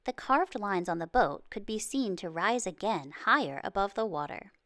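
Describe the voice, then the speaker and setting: A woman narrating a children's storybook in an expressive, careful read-aloud delivery. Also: mid-range voice, normal speed, no room sound, clean studio sound. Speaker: children's book reader.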